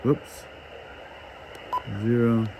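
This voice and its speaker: A man hosts a casual hands-on demonstration, talking slowly as he works. He thinks out loud in a halting manner, with a medium pitch. Noticeable hissy noise sits behind his voice. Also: completely flat delivery. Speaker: host demonstrating a shortwave radio.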